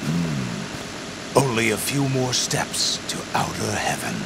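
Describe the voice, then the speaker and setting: Cold bossy game villain, croaky and clipped, really loud hiss and rumble. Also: male voice, wildly swooping pitch, takes it slowly, full character voice. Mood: cold, commanding. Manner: authoritative, clipped. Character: commanding, cold male villain leader plotting calmly. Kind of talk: video game cutscene acting.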